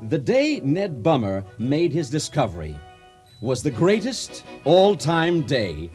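A pompous, folksy speechmaker in a radio play. His voice sits a little high and swings widely in pitch as he holds forth theatrically about a spacefaring age. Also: wildly swooping pitch, slow, a little mellow, faint music behind, exaggerated voice. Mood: folksy explaining. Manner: grandiloquent, theatrical delivery. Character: pompous, folksy ceremonial orator of a spacefaring future. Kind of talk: comic speech in a radio drama.

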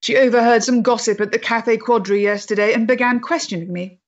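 Actress as a resolute Victorian freethinker, muffled recording. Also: female voice, fairly high pitch, fairly even intonation, close to an everyday voice. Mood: composed, wry. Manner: measured, formal, earnest. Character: resolute principled Victorian freethinking woman, composed and bitter. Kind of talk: period stage-play acting.

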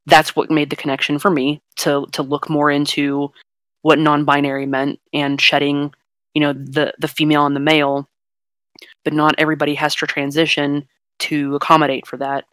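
A young non-binary podcast host with a fairly deep voice, talking frankly and at length in a casual chat. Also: monotone, normal speed, fairly bright tone, dry recording. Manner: earnest, frank, explains at length.

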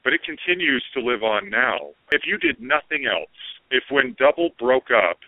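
A man's voice: mid-range voice, big pitch swings, moderate pace, no room sound. A man hosting a casual podcast interview, affirming his guest and asking roundabout, informal questions. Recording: narrow phone-like band, dry.

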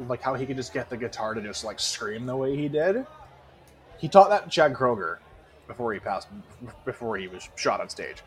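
A man co-hosting a podcast in casual, profane banter, emphatic and repeating himself for effect. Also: medium pitch. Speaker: podcast co-host.

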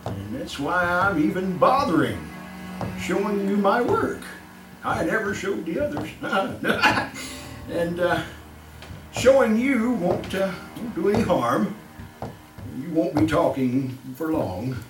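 An actor playing a boastful, cunning mad serial killer in old-time radio horror style, with a chuckling, halting, sinister delivery. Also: male voice, high-pitched, big pitch swings, exaggerated voice. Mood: smug, menacing. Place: live theatre stage performance. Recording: echoey live stage recording, distant mic, music.

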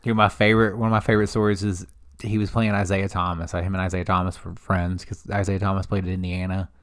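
A young man co-hosting a podcast, chatting casually and telling lively, profane stories. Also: low-pitched, fast, smooth. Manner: animated storyteller, swears freely. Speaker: podcast co-host. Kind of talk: casual chat.

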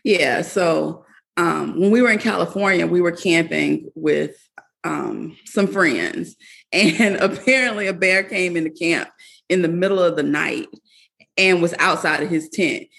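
A woman's voice: on the low side, a little slow, creaky, a bit bright, breathy edge. A woman guest talking casually on a video call, chatty and laughing often with many fillers. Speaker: guest, camper.

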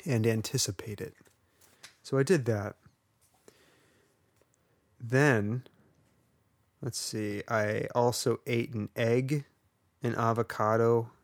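A man's voice: mid-range voice, gravelly, no echo. A man co-hosting a podcast in casual chat, rambling and wry, poking fun at himself. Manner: wry, rambling and self-deprecating. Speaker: podcast co-host.

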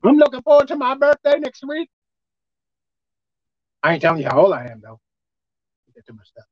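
A man with a squeaky voice preaching a sermon as pastor, emphatic and forceful. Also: very animated, moderate pace, no echo, highs cut off. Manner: emphatic, pitch leaping up and down.

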